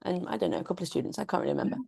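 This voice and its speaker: A woman with a British accent chats casually as a podcast co-host. Her voice sits on the low side, and the recording is dry and close with very little room sound. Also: rapid-fire, gravelly, mellow, no room sound. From United Kingdom. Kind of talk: casual chat.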